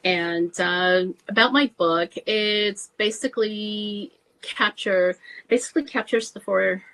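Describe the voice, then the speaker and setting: A woman author from the United States, answering interview questions softly and unhurriedly, with fillers. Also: lowish voice, poor audio. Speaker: guest author.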